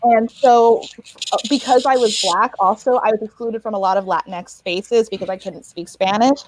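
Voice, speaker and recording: A woman's voice: mid-range voice, moderate pace, low-quality recording. A woman on a casual panel, chatting and often laughing, with plenty of fillers.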